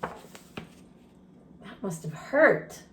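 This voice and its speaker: A woman reads a storybook aloud for children at a slow, deliberate pace. She tells it expressively and breaks off to add her own comments and questions. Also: slight background noise. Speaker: storytime reader and teacher.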